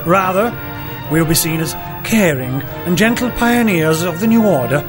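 An actor playing a prim, idealistic starship officer who makes long earnest speeches in a comedy radio play. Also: male voice, high voice, expressive, moderate pace, over music. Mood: earnest, proud.

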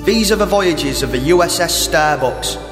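A man's voice: fairly high pitch, lively intonation, normal speed, music in the background, close to an everyday voice. A man playing a pompous, lecherous starship captain in a comedy radio play, grandiose but chummy. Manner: grandiose narrating, slipping into chummy banter.

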